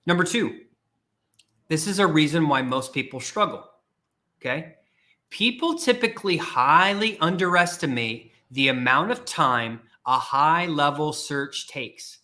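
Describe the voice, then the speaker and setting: A man's voice: a little high, lively intonation, a little slow, bright, forceful tone. A man hosting a career podcast, talking to listeners in an emphatic, repetitive way and challenging them directly. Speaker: podcast host and career coach. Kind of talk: motivational livestream talk.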